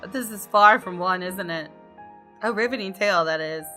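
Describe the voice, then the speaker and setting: A woman's voice: mid-range voice, moderate pace, pushed and bright. A woman reading visual novel text aloud and reacting casually and expressively as she plays. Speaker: gamer doing a visual novel let's play. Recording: music under the voice.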